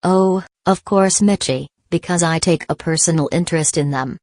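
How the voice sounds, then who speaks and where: Woman doing a gushy proud drama coach on radio, pretty low voice, dry sound. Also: moderate pace, a little mellow, no room sound, natural voice. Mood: proud, gushing. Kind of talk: scripted radio promo interview.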